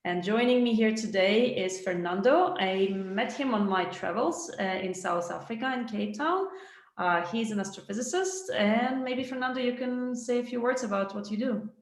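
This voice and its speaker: A woman's voice: fairly high pitch, moderate pace. A woman science writer giving an informal, colloquial webinar talk from a room over a video call. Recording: roomy echo on video call.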